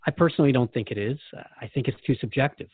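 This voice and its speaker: A man's voice: medium pitch, quick pace, slightly rough, dry recording. A man hosting a radio conversation, engaged and reflective, asking questions and paraphrasing what he hears. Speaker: radio show host. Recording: dry, thin phone-like band.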